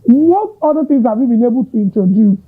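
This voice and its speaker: Male host, Nigerian accent, rambling questions, husky voice, phone-thin audio. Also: very high-pitched, animated, a little fast. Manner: long, winding questions with Yoruba asides. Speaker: interviewer, host. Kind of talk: interview questions. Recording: tinny narrow-band audio.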